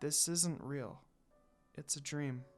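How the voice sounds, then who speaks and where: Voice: medium pitch, takes it slowly, soft background music, pitched below the performer's own voice. A reader voicing a sad, defiant young woman from a fan story in an emotional, dramatic way. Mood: disbelieving, nervous.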